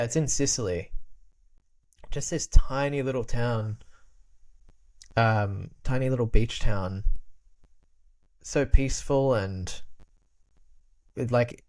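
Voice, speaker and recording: A man's voice: mid-range voice, measured pace, pauses often, darkish tone. A young man talks in an easygoing, rambling way, full of "like" fillers and restarts. He speaks as a casual podcast guest who grew up speaking Albanian at home, and he laughs often as he goes. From Australia.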